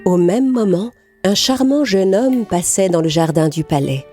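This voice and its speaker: A woman narrating a scripted children's fairy tale in a clearly articulated storytelling manner. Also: mid-range voice, lively intonation, unhurried, warm tone, faint music behind.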